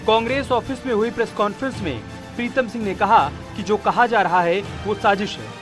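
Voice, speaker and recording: A man's voice: high-pitched, fairly quick, over music. A man reading a scripted news report voice-over in a dramatic, rhetorical way.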